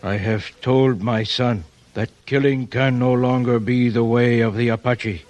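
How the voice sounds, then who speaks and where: Slow-spoken, dignified old Apache chief played by a man. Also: medium pitch, steady tone, darkish tone, natural voice. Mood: grave, stern. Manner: formal, measured, stately phrasing. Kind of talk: 1950s radio western acting.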